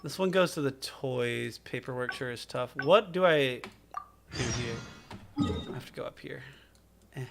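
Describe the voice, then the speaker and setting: A man doing comedic live commentary over a game, talking in an excitable, effusive, exclamatory way. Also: mid-range voice, measured pace, vocal fry, pauses often, background noise. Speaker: game streamer.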